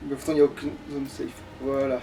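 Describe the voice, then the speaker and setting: A man's voice: mid-range voice, monotone, quick pace, vocal fry. A man giving casual, crude, grumbling commentary as he plays a video game. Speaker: let's player playing a video game. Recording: distant mic, music behind.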